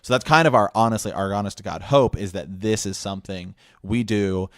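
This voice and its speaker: A man's voice: on the low side, fairly quick, dry recording, clean studio sound. A man hosting a podcast, making a casual announcement in an earnest way and often repeating his phrases. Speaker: podcast host.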